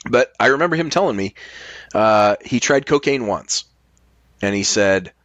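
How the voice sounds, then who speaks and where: A man's voice: fairly low pitch, lively intonation, normal speed, a bit bright, dry recording. A man chatting casually as a podcast guest, reflective, posing rhetorical questions and swearing freely. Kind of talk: casual chat.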